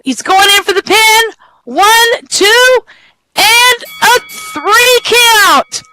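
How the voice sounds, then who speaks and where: A commentator doing lively play-by-play for a wrestling show who counts pins aloud and signs off the broadcast. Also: very high-pitched, extremely slow, slight background noise, low-quality recording.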